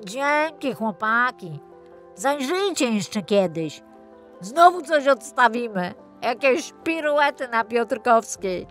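A woman's voice: a little high, animated, slow pace, faint music behind. A woman voicing an anxious, chatty little male teddy bear with a dramatic, plaintive manner in a children's audio story. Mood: grateful, playful.

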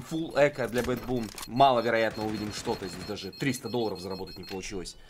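A man's voice: mid-range voice, slight background noise. A man giving live play-by-play commentary as an esports caster.

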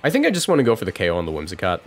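A man's voice: low voice, huge pitch swings, racing. Chatty young male streamer over music. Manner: chatty, thinks out loud. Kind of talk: casual live game commentary.

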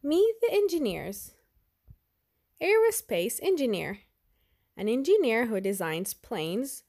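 A woman narrates a picture book for young children. Her voice sits a little high and swings up and down in a lively way. She takes her time, phrasing slowly and deliberately. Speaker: storyteller reading a children's picture book aloud. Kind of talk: expressive read-aloud narration for children.

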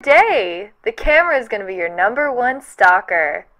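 A woman's voice: medium pitch, sing-song, moderate pace, sharp tone, a little hiss or hum, low-quality recording. An actress playing a brash, teasing woman behind a video camera in an audio drama.

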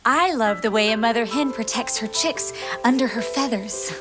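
A young woman playing a sweet, cheery, motherly friend to children, with a gentle sing-song delivery in a theatrical children's show. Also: on the high side, moderate pace, natural voice. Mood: gentle, explaining. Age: young adult. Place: studio set. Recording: loud music bed under the voice.

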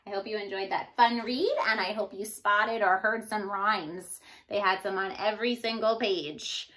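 A woman in her thirties giving an expressive storybook read-aloud for young children, like a teacher addressing her class. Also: mid-range voice, moderate pace, poor audio. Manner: animated, addressing young children, sounding out rhymes. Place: small indoor room.

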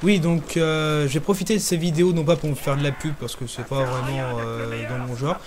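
A young man hosting a gaming channel, talking through a zombie game in casual commentary, hesitant with lots of pauses and fillers. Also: fairly high pitch, steady tone, a crowd in the background. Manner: hesitant, many pauses and fillers, talks while playing.

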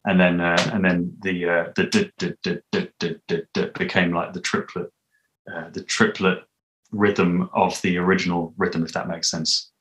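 A man's voice: deep voice, flat delivery, moderate pace, fairly bright tone, slight background noise, poor audio. A man in his thirties talking casually and thoughtfully in an interview, hesitating as he explains, on a video call from a room at home. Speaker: audio drama composer, interviewee. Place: small home room, indoors. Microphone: video call, mic at a distance.

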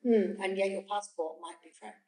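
A woman's voice: medium pitch, fairly even intonation, brisk, mellow. A woman asking probing questions in a group discussion. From Scotland. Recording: echoey room, distant mic.

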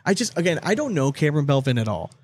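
A man's voice: a little high, sing-song, fast talker, no room sound. A man hosting a casual sports podcast, talking in a blunt, rambling, loose way with some swearing. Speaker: podcast host.

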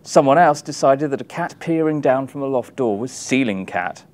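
Wry man reading aloud with very lively pitch. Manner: wry, emphatic, dramatic pauses. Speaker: author reading from his book. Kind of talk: expressive book reading, wry comic monologue. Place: small indoor room, publisher's backdrop. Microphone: lapel mic.

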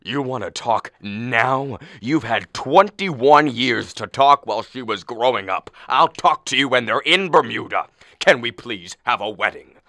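A man's voice: medium pitch, animated, a little slow, sharp tone, no echo, clean studio sound. A man playing an impatient, blustering middle-aged father of the bride in a radio play, demanding and money-minded. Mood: irritated.

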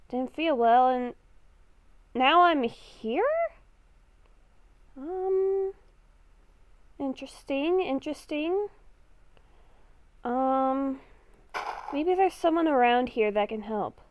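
A woman's voice: high-pitched, darkish tone, no room sound, lo-fi sound. A young woman improvising a Minecraft roleplay as a confused, lost young woman, hesitant and thinking aloud. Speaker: gaming video creator.

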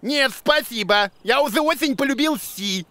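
A man's voice: very high voice, lively intonation, moderate pace, sharp tone, slight background noise, full character voice. A man voicing a plaintive, fussy old king in a children's cartoon. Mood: whiny, plaintive. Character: whiny, discontented old male cartoon king.

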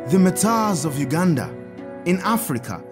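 Kindly priest for audio drama: instructive storyteller, animated melodic range, music behind. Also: male voice, high-pitched, moderate pace. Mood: earnest, explanatory. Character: kindly priest, Father Tad, teaching children about saints. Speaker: priest character telling saints' stories.

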